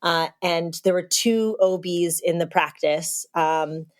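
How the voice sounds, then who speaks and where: Woman in her thirties, from Texas, no accent, slightly low voice, candid, chatty, laughs easily, podcast guest. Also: takes it slowly. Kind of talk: casual conversation, telling her birth story.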